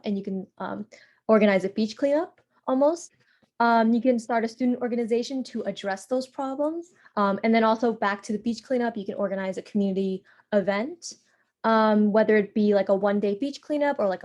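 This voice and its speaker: A woman's voice: medium pitch, a little mellow. A young woman giving a casual slide presentation on a video call, chatty and full of fillers. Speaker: workshop presenter.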